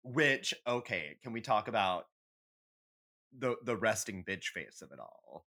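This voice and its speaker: Male podcast co-host, very animated pitch swings, catty and theatrical, sweary, emphatic casual banter. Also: a little slow. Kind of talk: casual comedic chat.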